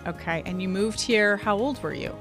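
A woman's voice: medium pitch, moderate pace, a bit bright, faint music behind. Chatty woman hosting a podcast. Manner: chatty, reacts with short affirmations. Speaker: podcast host. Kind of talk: casual interview.

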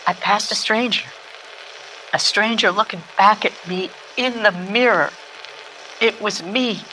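An actress playing a lounge singer confiding intimately and dramatically in a detective, as a noir radio monologue. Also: female voice, medium pitch, sharp tone, rough recording. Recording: recorded tape message with background noise.

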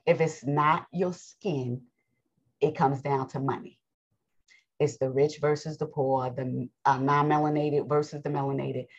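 A raspy, deep-voiced woman from the United States teaches scripture with emphatic, preachy force. Her strained voice stays low, and she keeps clearing her throat. The recording sounds dull and lo-fi. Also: fairly even intonation, a little slow. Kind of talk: bible lesson with commentary.

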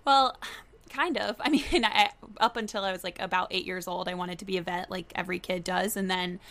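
Bright-voiced young woman from Florida. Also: on the low side, steady tone. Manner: upbeat, quick-witted, laughs often. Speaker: business journalist, guest. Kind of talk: casual interview chat.

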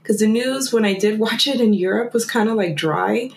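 A woman's voice: mid-range voice, normal speed, gravelly, reverberant, a little hiss or hum. A woman from Germany, a guest in a casual conversation, who talks in a chatty, rambling way full of fillers.

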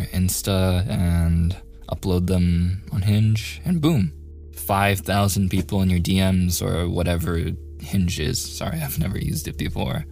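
A man playing a shy, awkward young man in a boyfriend ASMR roleplay, softly stammering and often slipping into whispers. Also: sits low, gravelly. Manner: hesitant, stammering, self-deprecating joking.

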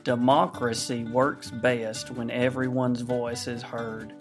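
A man playing a monotone small-town mayoral candidate. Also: mid-range voice, slow pace. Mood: earnest. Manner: stiff, monotone political-ad delivery. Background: guitar music.

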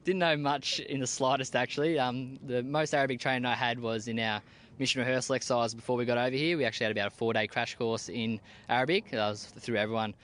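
A young Australian man, an army team leader, answering interview questions outdoors in a plain-spoken, relaxed way with casual slang. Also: mid-range voice, fast talker. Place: outdoors at a military base.

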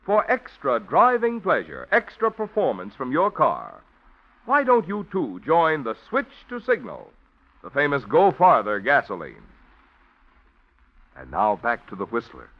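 A man announcing a 1940s radio drama with sponsor messages, smooth and persuasive. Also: mid-range voice, huge pitch swings, a little slow. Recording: dull old radio broadcast with music.

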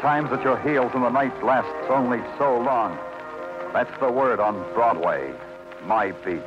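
An American actor playing a world-weary police detective, brooding, poetic and clipped in 1950s radio noir style. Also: male voice, medium pitch, unhurried, lightly acted. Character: world-weary hardboiled police detective narrating his beat. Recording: muffled old radio recording with music.

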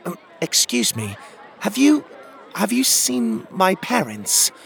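A man's voice: high voice, huge pitch swings, slow pace, vocal fry, fairly bright tone, some chatter behind, voice pushed up, full character voice. A man voicing a timid, earnest young boy in a storybook, with a hesitant, stammering manner. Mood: nervous, hesitant. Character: timid, earnest lost little boy searching for parents.

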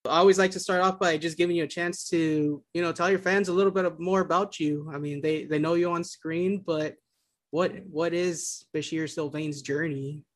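A man's voice: fairly high pitch, steady tone, moderate pace, no room sound. A man hosting a show in an enthusiastic, informal way, speaking casually like someone sharing news with fans. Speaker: show host. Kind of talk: casual gaming news recap and interview.

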